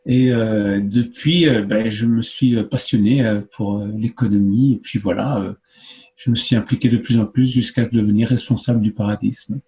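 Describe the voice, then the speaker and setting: A man in his fifties from France, a guest in an interview, who explains earnestly with many pauses and fillers. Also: lowish voice, steady tone, dark tone. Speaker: guest, movement spokesman. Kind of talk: conversational interview answers.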